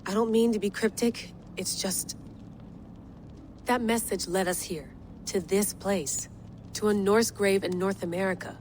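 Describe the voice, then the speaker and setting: An actress playing a troubled, guarded field operative in a video game, earnest and uneasy, speaking hesitantly. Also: female voice, mid-range voice, steady tone, a little slow, darkish tone, a little hiss or hum, lightly acted. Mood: intent, grave. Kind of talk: naturalistic video game acting. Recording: music playing behind the voice.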